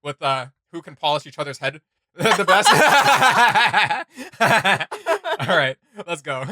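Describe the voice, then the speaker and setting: Giggly, bright-voiced man. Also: high-pitched, sing-song, takes it slowly, no echo, clean studio sound. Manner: joking, giggly, rambling with filler words. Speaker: reaction video host. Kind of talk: casual reaction chat.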